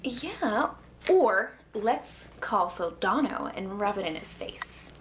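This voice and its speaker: A woman's voice: medium pitch, big pitch swings, slow. Lady as eager sensible detective sidekick in an audio comedy, noisy echoey room. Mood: excited. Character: helpful female detective's assistant, eager and level-headed. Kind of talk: comedic audio drama acting. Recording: echoey room, clear background noise.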